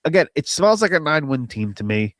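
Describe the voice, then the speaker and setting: A man's voice: on the high side, very animated, fast, a bit bright, dry recording, studio quality. Stuffy-nosed man rambling about football. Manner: rambling, opinionated, self-deprecating. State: stuffy, nasal from a cold. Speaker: podcast host. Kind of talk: casual solo sports commentary.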